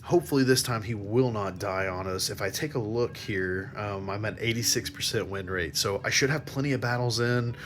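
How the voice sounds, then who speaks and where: Low-voiced enthusiastic man vlogging casually. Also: moderate pace, hardly any pauses, noisy background. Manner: enthusiastic, geeky fan talk. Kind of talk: casual chatty vlog with play-by-play of handheld virtual pet games.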